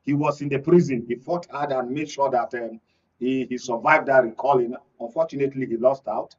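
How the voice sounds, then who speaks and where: Male Nigerian political pundit, husky voice, expository delivery, muffled off-mic studio sound. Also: medium pitch, brisk. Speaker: guest political analyst. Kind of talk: political panel discussion. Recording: dull, distant studio sound.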